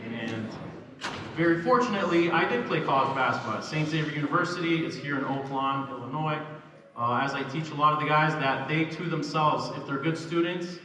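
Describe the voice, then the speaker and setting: A man's voice: on the high side, fairly quick, crowd noise. A young man, born in Poland and raised in Canada, giving a self-deprecating banquet speech as a freshman basketball coach. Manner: self-deprecating, chuckling asides. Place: large echoey hall. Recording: echoey, distant hall recording with background noise.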